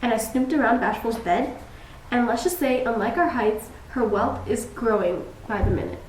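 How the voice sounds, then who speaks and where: A woman's voice: fairly high pitch, normal speed, close to an everyday voice. A young woman playing a grumpy, blunt dwarf psychologist who talks in an exasperated, clinical way in a student skit. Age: young adult. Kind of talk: student skit, scripted diagnostic monologue. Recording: roomy, distant camera mic with hiss.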